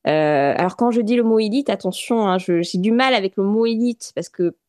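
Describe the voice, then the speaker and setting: Earnest, halting woman on dry mic. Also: mid-range voice, a little mellow, no room sound. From France. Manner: earnest, halting, many restarts and fillers. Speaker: interview guest. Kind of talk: radio interview. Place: radio studio.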